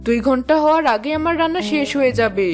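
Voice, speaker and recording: A woman as a high-voiced devious cartoon daughter-in-law. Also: animated, fast, over music. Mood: boastful. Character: boastful, devious daughter-in-law in a cooking contest. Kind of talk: cartoon fairy tale dubbing.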